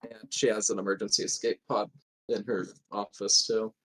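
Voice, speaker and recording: A man's voice: lowish voice, little pitch movement, normal speed, fairly bright tone, slightly rough, rough recording. A man playing a tabletop roleplaying game, talking through options in casual conversation.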